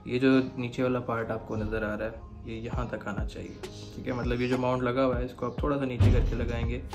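A man's voice: mid-range voice, level tone, fairly quick, over loud music. A young man with a Pakistani Urdu accent gives a casual how-to on his motorcycle camera and mic setup, checking in often with the viewer. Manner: explanatory, keeps asking "theek hai" to check in. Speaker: motovlogger. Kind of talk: casual gear tutorial.

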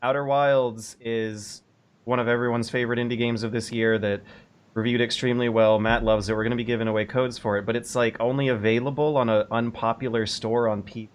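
A man's voice: mid-range voice, steady tone, fairly quick. A man hosting a casual livestream podcast from a home room over a video call, musing aloud with hesitant restarts. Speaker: podcast host.

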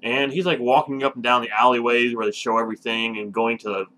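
Brief: male reviewer, mid-pitched, casual and emphatic. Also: steady tone, fast, sharp tone, rough recording. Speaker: movie review show host.

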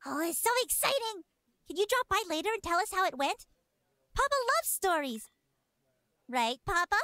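Little tavern girl from a game, super high n bright, eager, dramatic. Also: very animated, normal speed, no echo, clean studio sound, full character voice. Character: excitable, sweet little innkeeper's daughter. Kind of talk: video game character acting.

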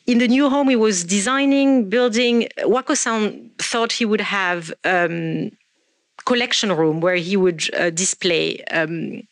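A woman gives a talk from a lectern, speaking in a measured way with frequent ums and restarts. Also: mid-range voice, no room sound, studio quality. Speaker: art researcher giving a talk. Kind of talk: presentation lecture. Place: indoor stage at a lectern. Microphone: stand mic at lectern.

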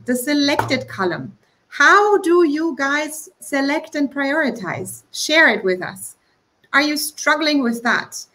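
A woman's voice: sits high, fairly bright tone, a little hiss or hum. A woman moderating an interactive webinar over a video call, inviting the audience with questions. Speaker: workshop co-host.

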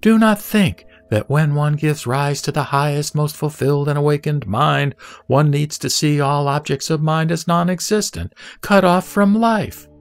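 Man playing a measured, solemn Buddha teacher. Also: on the high side, smooth. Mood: gently correcting. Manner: measured, solemn, didactic delivery. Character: the Buddha, serene male teacher instructing a disciple. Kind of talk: audio drama scripture reading. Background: background music.

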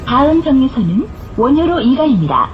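Female transit announcer: high, lively intonation, formal and carefully enunciated, recorded amid vehicle noise. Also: normal speed, muffled recording. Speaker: bus stop announcer. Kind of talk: scripted public transit announcement. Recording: clear vehicle noise throughout. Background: bus interior noise.

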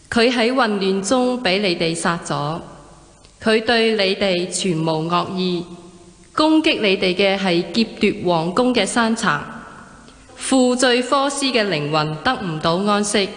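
An actress playing a solemn, commanding female oracle in a radio drama, proclaiming in a measured way. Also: medium pitch, a bit bright. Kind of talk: Cantonese radio drama acting. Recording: long hall reverb effect.

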